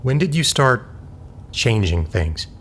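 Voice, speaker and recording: A man's voice: mid-range voice, sing-song, takes it slowly, a bit bright, a little hiss or hum. An actor playing a serious, admonishing male doctor in an audio drama. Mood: reproachful. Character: Doctor Clark, stern, reproachful male doctor.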